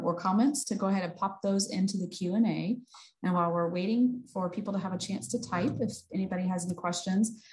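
A woman's voice: lowish voice, darkish tone, rough recording. A woman in her thirties hosting an academic question-and-answer session over a video call. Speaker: host, moderator. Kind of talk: moderating an academic Q&A. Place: small indoor office, video call. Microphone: computer mic on video call.

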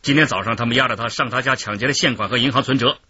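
An earnest police officer in a radio play, speaking fast in a bright, forceful voice. The recording is a little dull. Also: male voice, medium pitch, husky, dry recording. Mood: urgent, efficient. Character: brisk, earnest male police detective reporting. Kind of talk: radio drama acting. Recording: dull radio drama track, faint noise.

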